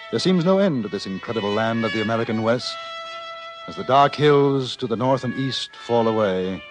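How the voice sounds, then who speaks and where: Man acting English frontier journalist, medium pitch, varied melody, articulate, wry, scripted radio narration. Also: natural voice. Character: urbane English newspaper correspondent in the frontier West. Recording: faintly noisy vintage radio recording.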